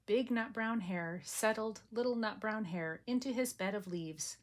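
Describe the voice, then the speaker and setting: An older woman, a grandmother, reading a bedtime storybook aloud in a gentle, soothing way. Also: mid-range voice, measured pace.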